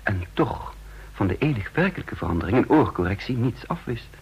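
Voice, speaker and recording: A secret double agent in a radio drama, shaken and brooding. His voice is lowish and very husky, and he tells his inner thoughts in a measured, suspicious way. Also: big pitch swings, lo-fi sound, exaggerated voice. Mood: brooding suspicion. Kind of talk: Dutch radio drama acting with inner-monologue narration.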